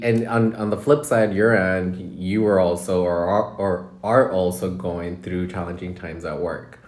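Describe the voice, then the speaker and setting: This is a very deep-voiced man chatting casually on a podcast. He tells personal stories openly and laughs easily. The room around him is echoey. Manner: laughs often, candid storytelling. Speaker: podcast co-host.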